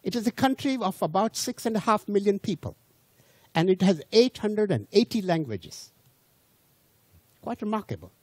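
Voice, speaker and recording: A man from India, not a native English speaker, giving an unhurried public lecture to an audience and restarting and repeating words as he goes. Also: high voice, a little mellow, dry recording.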